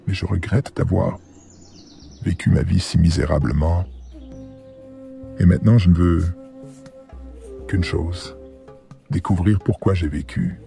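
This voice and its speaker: A man plays a weary, ailing grandfather in his seventies for a cartoon. His frail voice is very dark and full of creak, and he speaks with earnest regret. Faint music plays behind him. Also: sits low, exaggerated voice. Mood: pleading, regretful. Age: 70s. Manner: slow, earnest, reflective. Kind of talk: animated drama acting in French.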